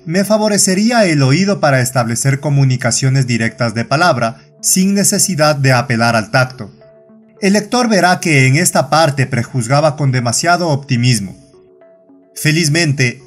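A man reading a short story aloud as narrator, in measured, even scripted narration. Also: fairly high pitch.

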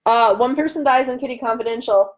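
A woman in her thirties hosting a casual live stream from home, loud, excitable and bantering as she answers comments. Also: fairly high pitch, fast. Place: room at home by a large window.

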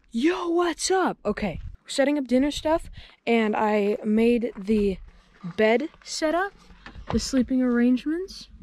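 A teenage boy vlogging casually on a mountaintop, excitable and full of slang, filmed on a handheld action camera. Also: high-pitched. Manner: excitable, slangy, exclaims often. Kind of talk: casual outdoor vlog. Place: outdoors on a windy mountaintop. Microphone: action camera held at arm's length. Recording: noisy outdoor camera audio.

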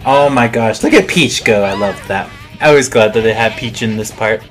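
Guy doing a casual lets play, super excitable and rambly, game music playing behind him. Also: fairly low pitch, normal speed. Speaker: gaming video host. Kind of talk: casual let's play commentary. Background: game intro music.

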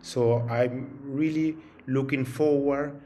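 A middle-aged man from Peru, a program director, giving a halting video-call invitation that keeps repeating words and tagging on "no?". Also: medium pitch, steady tone, warm tone, a little hiss or hum. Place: home room with paintings on the wall. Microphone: video call webcam.

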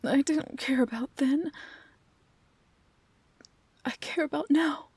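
Casting: actress playing young fantasy heroine, high voice, very slow breathy pleading, devoted and emotional. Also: female voice, a little mellow, no echo. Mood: grieving, tender. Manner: breathy, halting, pleading delivery. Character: young woman pleading tearfully for her beloved beast. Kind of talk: emotional fantasy audio roleplay.

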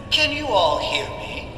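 Pompous, high-voiced mad scientist villain. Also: male voice, background noise, full character voice. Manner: condescending, pompous, drawn-out. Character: gloating, arrogant mad male scientist villain. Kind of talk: video game cutscene acting. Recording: echoey hall, music over it.

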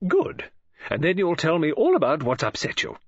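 A man voicing a chatty, excitable middle-aged woman novelist who talks in a gushing, coaxing way. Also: normal speed, no room sound, heavily acted. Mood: fussing. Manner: gushing, coaxing, high breathy falsetto. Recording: dull lo-fi audiobook recording.

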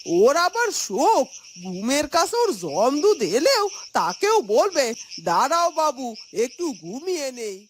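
A very high-voiced, grumbling older village woman from a cartoon fairytale. She scolds in exclamations and draws her words out slowly, with big swings in pitch. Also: very animated, a little hiss or hum, full character voice. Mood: scolding, exasperated. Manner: scolding, exclamatory, drawn-out delivery.

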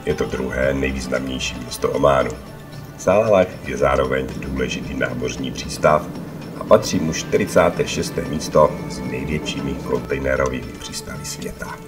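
Very deep male travelogue narrator over music.

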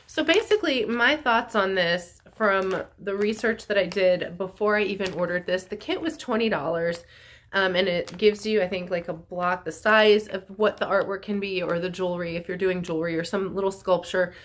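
A woman's voice: medium pitch, normal speed, fairly bright tone. A woman in her forties, congested and a bit nasal, chatting casually to a phone camera at home with lots of ums and likes. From North Carolina, USA. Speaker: artist vlogger. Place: small room at home, indoors. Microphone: phone camera at arm's length.

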